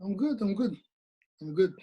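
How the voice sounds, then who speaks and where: A man from Congo, raised in Paris, talking as an interview guest in halting English, tired after a workday. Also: sits high, animated, breathy edge, highs cut off. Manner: halting non-native English, soft-spoken. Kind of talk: casual interview conversation.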